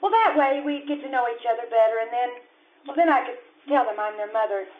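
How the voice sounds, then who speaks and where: A woman playing a gentle, teasing wife and mother in a radio comedy performed live on stage. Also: fairly high pitch, expressive, fast, lightly acted. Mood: hesitant, measured. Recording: dull lo-fi live stage recording.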